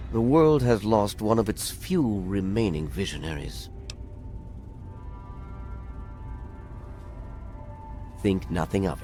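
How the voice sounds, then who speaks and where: Formal scholarly man, animated intonation. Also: lowish voice. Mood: solemn, polite. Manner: formal, precise, guarded. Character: courteous, scholarly male scribe, formal and reserved. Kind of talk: dramatic video game acting.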